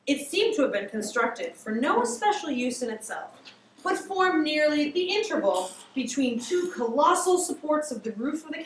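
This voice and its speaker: A woman's voice: sits high, fairly bright tone. A woman in her thirties giving a theatrical, emphatic dramatic story reading, drawing out words like a teacher before a class. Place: classroom, indoors. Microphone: far camera across the room. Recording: distant, echoey classroom recording with noise.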